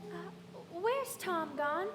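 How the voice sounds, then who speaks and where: Lady doing a delicate girly society belle for a radio play, super high voice, echoey, music under it. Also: animated, slow pace, exaggerated voice. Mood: uneasy. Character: popular, fragile eighteen-year-old Southern society belle. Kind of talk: live radio play acting. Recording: echoey hall with music behind.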